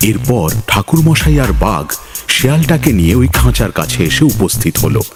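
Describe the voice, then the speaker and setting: A man narrating an animated moral fable as a measured storyteller. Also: fairly low pitch, pitched below the performer's own voice. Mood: calm telling. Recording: music under the voice.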